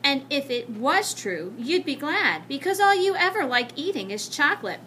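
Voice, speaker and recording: A woman's voice: high voice, sing-song, normal speed, pushed and bright, slight background noise, voice pushed up, heavily acted. A woman voicing a haughty, dismissive young schoolgirl in a children's storybook, bossy and scornful.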